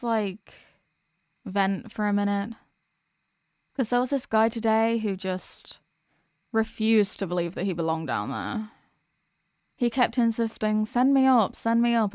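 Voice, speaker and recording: Demoness girlfriend, played by a woman: tired office worker, tender and chatty, mid-pitched, intimate ASMR roleplay, very dry recording. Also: a little mellow, clear, resonant tone, no echo, lightly acted. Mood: exasperated venting. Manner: chatty, affectionate, intimate address to a partner. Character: sweet, weary demoness girlfriend venting about office work.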